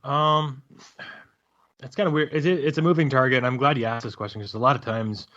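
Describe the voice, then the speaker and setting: A man's voice: mid-range voice, fairly even intonation, a little fast, a bit bright. A man talking casually and giving his opinions as a panel guest, on lo-fi audio.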